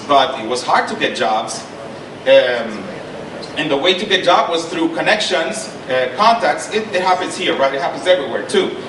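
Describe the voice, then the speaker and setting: A middle-aged man from Honduras speaks at a panel in a slightly higher voice, talking earnestly, repeating himself and stopping to check that his listeners follow. He sounds far from the mic in a big echoing lecture hall. A steady noise and low rumble sit behind his voice. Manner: earnest, repeats and restarts, stresses points with "okay?". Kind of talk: panel talk with personal story. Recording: echoey hall, mic from a distance, noisy.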